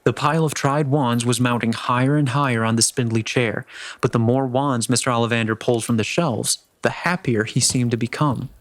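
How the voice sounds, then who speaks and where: A man's voice: mid-range voice, steady tone, brisk, dry recording. A man reading scripted story narration as the narrator of a dramatic reading.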